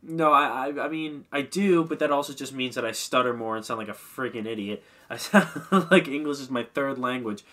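A man's voice: medium pitch, brisk, hardly any pauses, bright, forceful tone. Rambling congested young gamer man. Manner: rambling, self-mocking, swears. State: slightly congested. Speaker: gaming commentator. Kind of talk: casual game commentary. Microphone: desk mic.

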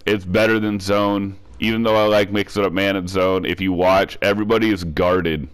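A man's voice: low-pitched, fairly even intonation, moderate pace, clean tone. A man with a cold and a stuffed-up nose, talking through a video game defense step by step in a casual voice-over, often repeating and restarting himself. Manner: repetitive step-by-step instruction with frequent restarts. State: congested from a cold. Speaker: gaming tutorial creator.

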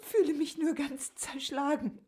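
An actress playing an exhausted, anxious middle-aged cook in a radio drama, speaking in a hushed, faltering way. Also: female voice, sits high, steady tone, a little slow. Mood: distressed. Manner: hushed, faltering, often whispering. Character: exhausted, anxious 44-year-old cook, troubled and ashamed. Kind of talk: German radio drama acting.

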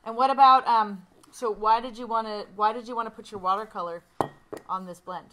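A woman's voice: on the high side, steady tone, brisk, sharp tone, poor audio. A woman co-hosting a winery tasting, enthusiastic and laughing along. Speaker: co-host. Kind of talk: casual chat. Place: winery tasting room, indoors. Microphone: tabletop mic on stand.

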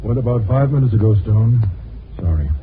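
A man's voice: on the low side, lively intonation, a little fast, background noise, lightly acted. A man playing a sympathetic hospital doctor in a 1950s radio drama. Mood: gentle, somber. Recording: tinny old radio recording, faint music.

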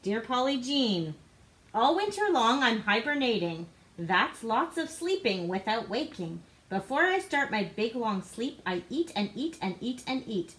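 A woman's voice: on the high side, sing-song, unhurried, a bit bright, low-quality recording. A woman reading rhyming storybook text aloud for young children, playful and theatrical in her delivery. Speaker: guest co-reader. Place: living room indoors. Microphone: device camera a short distance away.

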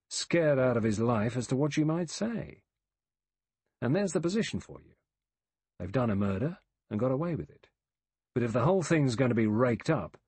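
A man's voice: medium pitch, husky, no echo. Reader as a blunt, swooping, suspicious investigator man. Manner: forceful, colloquial, rhetorical questioning. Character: blunt, aggressive male investigator, suspicious and cocksure.

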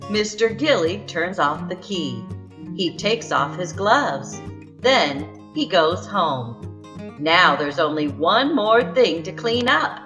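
A woman reading a picture book aloud to young children as a storyteller, with expressive, exaggerated emphasis and music behind her. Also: on the low side, slow. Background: background music.

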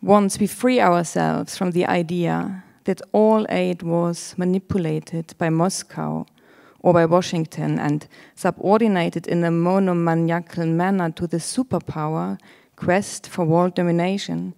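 A woman's voice: lowish voice, steady tone, unhurried, gravelly, darkish tone. A woman in her forties, a native German speaker with a German accent, giving an academic presentation into a handheld microphone on stage. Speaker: curator and theorist, panel speaker. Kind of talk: academic presentation, partly read from script. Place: auditorium stage with audience.

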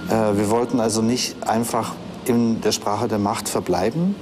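A man's voice: on the low side, fairly even intonation, moderate pace. A man in his thirties spontaneously explaining his work in an interview. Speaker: theatre maker being interviewed. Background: music behind the voice.

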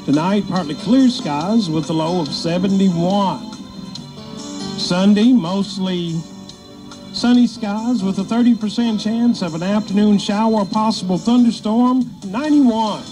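A man's voice: high-pitched, a little slow, rough recording. A man reading a scripted weather forecast on the radio. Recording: FM radio aircheck with music. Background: music.

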